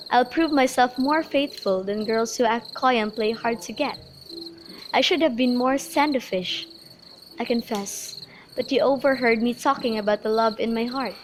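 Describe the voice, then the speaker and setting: A woman's voice: a little high, close to an everyday voice. A woman playing an earnest, lovestruck young noblewoman in an amateur student radio drama of modernized Shakespeare. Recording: noisy home recording, crickets behind.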